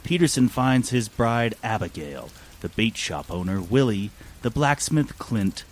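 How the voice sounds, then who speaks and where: A man's voice: lowish voice, animated, a little slow. A man narrating a scripted radio play in a mock-serious storytelling manner. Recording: clear rain noise behind. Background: falling rain.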